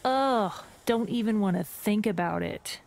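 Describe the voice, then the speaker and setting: A woman's voice: mid-range voice, a little slow, darkish tone, slight background noise, lightly acted. An actress playing a tough, no-nonsense woman fighter in a video game, curt, sarcastic and dismissive. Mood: scornful, irritated. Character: tough, no-nonsense, scornful female fighter, adult. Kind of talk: video game character dialogue.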